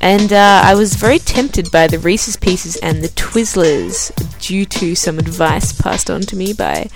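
Australian woman podcast host, lower voice, casual and self-deprecating, reads fiction aloud. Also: very animated, measured pace, over music. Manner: chatty and self-deprecating, with filler words. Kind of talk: casual chat, then reading a novel aloud.

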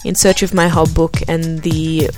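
Australian woman podcast host, lower voice, casual and self-deprecating, reads fiction aloud. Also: flat delivery, a little slow, faint music behind. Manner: chatty and self-deprecating, with filler words. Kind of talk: casual chat, then reading a novel aloud.

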